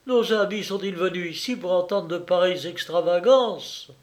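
A man's voice: sits high, normal speed, voice pushed up, natural voice. A man doing a furious young actress. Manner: sharp, indignant.

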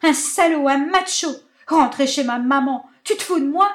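A woman voicing a furious young woman, screaming shrill, high-pitched outbursts at her lover. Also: normal speed, lightly acted. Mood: outraged.

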